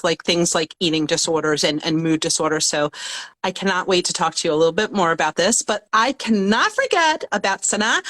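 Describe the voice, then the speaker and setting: A woman hosts an online panel in a mid-range voice. She is enthusiastic and quick with affirmations, with an "um" here and there. She is heard over a video call. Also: mid-range voice, fairly bright tone. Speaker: panel host.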